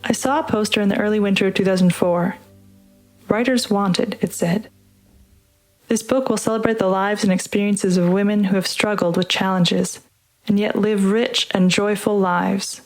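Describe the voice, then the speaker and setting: A woman giving a measured, earnest scripted reading as narrator of a community story project. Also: medium pitch, fairly even intonation, low-quality recording. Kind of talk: scripted reading over music. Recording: music playing under the voice.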